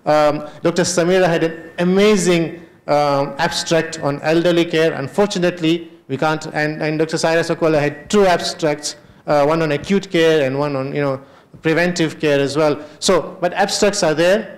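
A middle-aged man with a Kenyan Memon accent moderating a panel in a hotel conference hall, talking into a handheld mic and mixing Kutchi phrases into his English. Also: fairly high pitch. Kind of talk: unscripted panel discussion.

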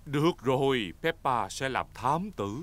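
A man voicing a kindly, patient daddy pig in a children's cartoon, gently explaining things to a child. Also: mid-range voice, expressive, measured pace.

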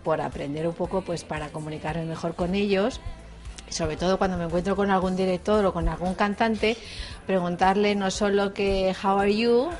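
A woman's voice: medium pitch, fairly even intonation, moderate pace, a little mellow, faint music behind. Chatty woman in an office hallway. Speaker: casting applicant. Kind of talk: casual interview answers.

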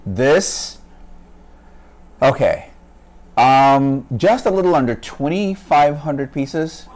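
A middle-aged man talking casually to camera in a home review, halting and reflective, in short pausing phrases. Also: on the high side, lively intonation, a little mellow. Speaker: hobbyist vlogger, toy building reviewer. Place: room at home.

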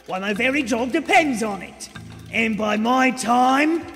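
An actor playing a brash, pushy street hawker and conductor in a live radio play, with showy, bossy patter. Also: male voice, very high-pitched, animated, a little slow, a bit bright, soft background music, full character voice. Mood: officious. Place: theater stage before a live audience. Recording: live theater hall, from stage.

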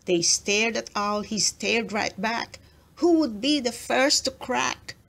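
A woman reads a children's picture book aloud as a storyteller. Her voice sits a little high and she takes the words very slowly, with expressive delivery. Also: vocal fry.

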